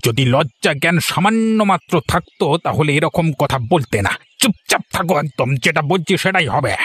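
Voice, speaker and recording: Husky, scolding old village moneylender, acted by a man. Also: a little high, animated, a little fast, a bit bright, dry recording. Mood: angry, threatening. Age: older. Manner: haughty, scolding, threatening. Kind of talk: Bengali cartoon voice acting. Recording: music behind the voice.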